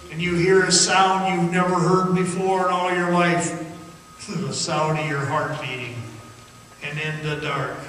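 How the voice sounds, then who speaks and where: A man playing a frightened miner recounting a haunting underground ordeal as a halting, suspenseful radio theatre monologue. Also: high-pitched, a bit bright. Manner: halting, suspenseful, dramatic storytelling. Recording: noisy, very echoey hall, distant mic.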